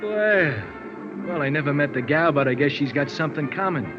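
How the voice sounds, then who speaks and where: Music plays behind a dull old radio recording of a chatty American hipster barman, played by a male actor. His voice is fairly high and swings widely as he rolls out streetwise slang and puffed-up vocabulary. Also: lively intonation, moderate pace, close to an everyday voice. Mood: guarded, confiding. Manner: breezy slangy patter with pompous big words. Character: chatty, self-improving hipster male bartender, breezy and streetwise. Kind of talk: 1950s American radio character acting.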